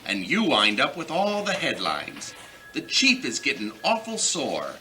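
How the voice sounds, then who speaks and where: Sharp, exasperated police desk officer. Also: male voice, medium pitch, wildly swooping pitch, unhurried, slight background noise, full character voice. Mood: grudging. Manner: brusque, weary, streetwise. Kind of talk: old-time radio comedy acting.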